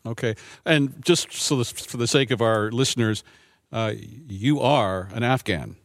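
A man's voice: mid-range voice, measured pace, dry recording. A man who is a pastor hosting an interview, asking questions with frequent fillers. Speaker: pastor and host. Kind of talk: interview host.

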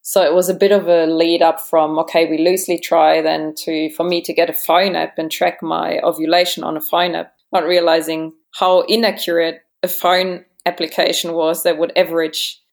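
A woman's voice: on the low side, level tone, moderate pace, creaky, few pauses. A woman in her forties with a German accent, telling personal stories conversationally as an interview guest. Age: 40s. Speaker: interview guest, yoga teacher.

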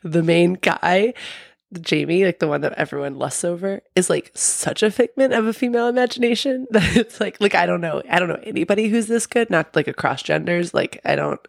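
A woman's voice: lowish voice, a little fast, creaky, no echo, clean studio sound. A young woman with a slight lisp and a congested, sniffly voice, co-hosting a podcast and rambling casually with lots of fillers and laughs. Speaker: podcast co-host. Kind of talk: casual recap chat.

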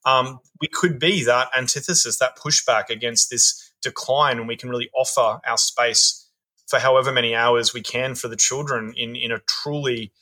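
A man's voice: medium pitch, fairly even intonation, moderate pace, pushed and bright, rough recording. A man working as a play worker, answering interview questions in an earnest, thoughtful way, often repeating words as he thinks.

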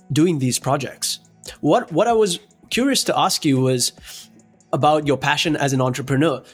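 Male host, mid-pitched, friendly and affirming, with light music underneath. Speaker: interview host. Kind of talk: interviewer questions.

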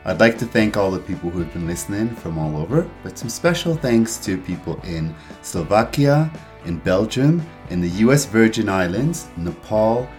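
A man's voice: low-pitched, a little mellow. A man hosting a travel podcast, introducing the show and interviewing his guest.

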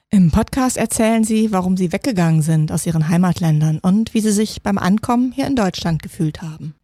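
A woman hosting a podcast interview in a studio, speaking clearly and deliberately for language learners. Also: medium pitch, mellow, no room sound. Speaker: podcast host and integration course teacher.

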